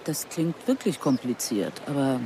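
A man's voice: medium pitch, sing-song, moderate pace, mellow, slight background noise, natural voice. An actor playing a politely persistent male investigator in a radio drama. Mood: polite, doubting.

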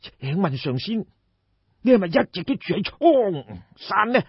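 A man's voice: high voice, very animated, normal speed, breathy edge, voice pushed up, full character voice. A man voicing an aging, ailing, credulous king of ancient China in an audiobook, sighing and marvelling. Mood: awed. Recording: dull, roomy audiobook recording.

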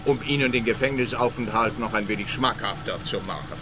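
An actor playing a pompous, sarcastic police officer in a comic radio crime play. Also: male voice, mid-range voice, fairly quick, natural voice. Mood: smug, officious.

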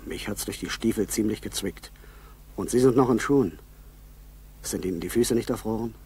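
An actor playing a plain-spoken, companionable military man in a 1960s German radio play, with a very husky voice. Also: lowish voice, moderate pace, darkish tone, a little hiss or hum, close to an everyday voice. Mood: approving.

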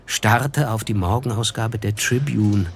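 A man's voice: fairly low pitch, a little slow, a little hiss or hum. An actor playing a reflective, observant young man, narrating a radio drama in the first person with a wry, literary manner. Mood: sober recollection.

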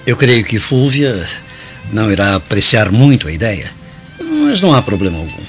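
Kind roman uncle, brazilian radio drama, lowish voice, lots of inflection, music under. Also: male voice, huge pitch swings, moderate pace, highs cut off. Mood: kindly, concerned. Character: kindly, considerate Roman uncle, a senator's elder.

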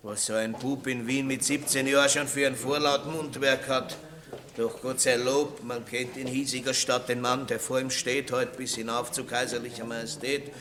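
An actor playing a boorish, cowardly, self-important baron in a radio play, with a Viennese accent and a theatrical manner. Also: male voice, mid-range voice, level tone, normal speed, fairly bright tone, close to an everyday voice. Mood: pompous, condescending. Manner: pompous, blustering, theatrical. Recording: roomy 1960s radio play studio.

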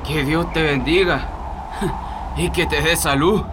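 Guy acting a worn-out chilean ex-prisoner, slow high voice, resigned, radio drama. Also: fairly even intonation, background noise. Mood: weary, resigned. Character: weary, resigned Chilean ex-prisoner, coughing, gentle.

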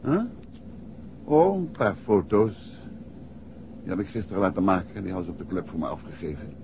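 Wry detective, lively old radio sound. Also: male voice, sits low, creaky, full character voice. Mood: matter-of-fact. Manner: brisk, teasing, confident. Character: self-assured amateur detective husband, wry and probing. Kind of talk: 1960s radio drama acting. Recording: dull, noisy 1960s radio recording.

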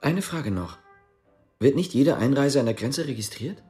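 A man's voice: medium pitch, expressive, moderate pace, darkish tone, husky, faint music behind. An actor playing a wary, resolute man on the run in a radio drama, terse and serious in manner. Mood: probing.

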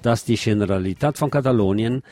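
A man's voice: fairly low pitch, fairly quick, mellow, no echo. A man presenting radio news, reading from a script in a narrated style.

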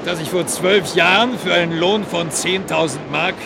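An actor in a German radio drama playing a brazen, cocky blackmailer who was once a hired killer. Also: male voice, high-pitched, moderate pace, vocal fry. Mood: smug, threatening. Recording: loud surf noise behind. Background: ocean waves on a beach.

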